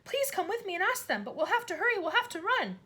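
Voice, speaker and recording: A woman voicing a desperate, bright little girl who cries out urgently in a high, breathless voice. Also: sing-song, fast talker, full character voice.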